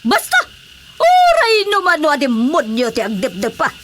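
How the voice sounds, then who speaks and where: High, swooping, brash businesswoman. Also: huge pitch swings, background noise. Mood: defiant. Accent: Ilocano. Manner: exclamatory, theatrical, drawn-out hesitations and scoffing. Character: brash, well-connected businesswoman boasting of powerful protectors. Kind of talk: Ilocano radio drama comedy acting.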